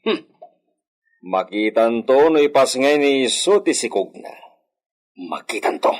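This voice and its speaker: An actor with an Ilocano accent playing a suspicious, bitter hacienda master in a radio drama, brooding and given to dramatic outbursts. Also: male voice, medium pitch, animated, a little slow, close to an everyday voice. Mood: stern, brooding. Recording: music bed under the voice.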